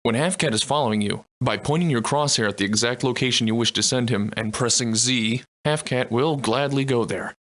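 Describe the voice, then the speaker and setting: A man voicing a folksy, easygoing guide who explains things colloquially and in a measured way for a video game tutorial. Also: medium pitch, a bit bright, lightly acted. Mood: fond, instructive.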